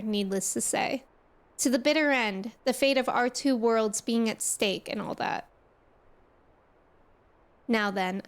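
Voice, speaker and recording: A woman reading aloud the lines of a steady young male companion in her own voice. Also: fairly high pitch, natural voice.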